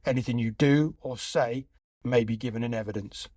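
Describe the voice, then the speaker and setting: A man's voice: mid-range voice, darkish tone, dry recording, lightly acted. Man playing stern police inspector, dry sound. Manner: formal, deliberate, official. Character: stern, formal male police inspector making an arrest. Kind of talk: audio play acting.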